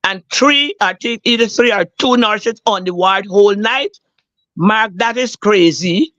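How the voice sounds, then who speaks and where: A hoarse woman, calling a talk show and earnestly telling a personal story, repeating herself for emphasis. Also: mid-range voice, unhurried, a bit bright, no echo, lo-fi sound. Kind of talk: emotional personal account.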